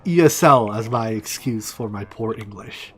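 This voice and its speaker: A man whose first language is Chinese, casually talking to himself and his viewers while he plays a game, with mumbled asides and excited outbursts. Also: fairly low pitch, normal speed, a little hiss or hum. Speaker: streamer. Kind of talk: casual gameplay commentary.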